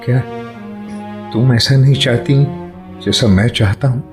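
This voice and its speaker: An actor playing a lovesick, insistent doctor in a radio play, with an emotional, pleading delivery. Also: male voice, medium pitch, a little slow, close to an everyday voice. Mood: tender pleading. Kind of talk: Hindi radio play acting. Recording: music behind a radio play.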